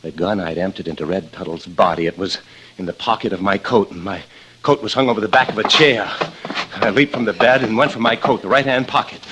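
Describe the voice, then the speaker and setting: An actor playing a desperate killer in hiding who fears his wife, in halting, theatrical 1940s radio suspense style. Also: fairly low pitch, a bit bright, slight background noise, natural voice, poor audio. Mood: haunted, frantic. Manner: theatrical, halting, repeats words in dread. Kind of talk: 1940s radio drama acting, first-person suspense narration. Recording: old radio recording with organ music.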